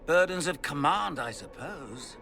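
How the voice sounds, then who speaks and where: An actor playing a smug, pompous villain mage in a video game, speaking in a mocking, theatrical manner. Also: male voice, a little high, lively intonation, measured pace, creaky, pushed and bright, soft background music, heavily acted.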